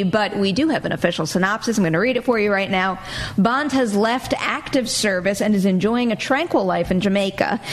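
A woman hosting a movie news panel show, presenting entertainment news to her co-hosts. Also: fairly high pitch.

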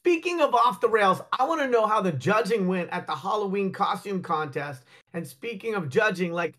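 A man's voice: high-pitched, animated, moderate pace, a bit bright. A man on a talk show panel giving his opinions in frank, colloquial language. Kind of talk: casual opinionated discussion.

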